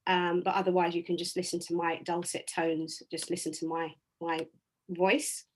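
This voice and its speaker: A woman presenting a live online wellbeing workshop over a video call, chatty and direct with many ums and restarts, her voice slightly raspy today. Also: lowish voice, little pitch movement, moderate pace.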